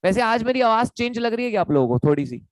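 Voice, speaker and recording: Young Indian male tutor, hoarse throat, chatty and repetitive, dry close recording. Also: sits high, sing-song, fast talker, dry recording. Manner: chatty, repetitive, calls students beta. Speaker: online law tutor. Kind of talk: live online lecture.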